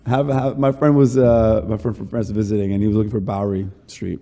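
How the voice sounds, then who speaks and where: Very dark-voiced male podcast host. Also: on the low side, flat delivery, brisk, long reverb tail. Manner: rambling, many restarts. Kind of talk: casual interview.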